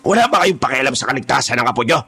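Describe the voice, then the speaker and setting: An actor playing a rough kidnapper's henchman in a horror audio drama, colloquial and easily rattled. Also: mid-range voice, lively intonation, racing, bright, forceful tone, slight background noise. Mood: threatening, impatient. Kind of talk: dramatised horror story acting.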